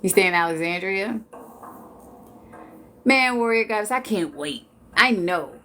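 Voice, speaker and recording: A woman's voice: mid-range voice, expressive, a little slow, sharp tone, a little hiss or hum. A woman in her late forties from Washington D.C., chatting casually and jokingly with viewers on a live stream. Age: 40s. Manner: chatty, laid-back, joking.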